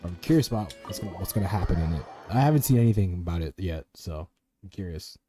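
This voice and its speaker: A man's voice: sits low, expressive, fast, mellow, slightly rough, soft background music. A man streaming a game with casual, slangy commentary and plenty of swearing. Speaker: gaming streamer.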